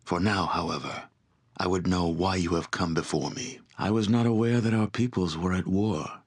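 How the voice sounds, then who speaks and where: Very deep, solemn barbarian chieftain. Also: male voice. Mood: stern, wary. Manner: solemn, formal, deliberate oratory. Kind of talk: scripted fantasy game voice acting.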